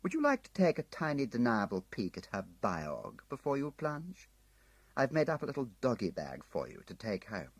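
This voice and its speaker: A sly spymaster in an audiobook, as a male narrator does him, coaxing and insinuating, with a deep, husky voice lifted into a lively, rising lilt. The sound is close and dry. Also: normal speed, no room sound.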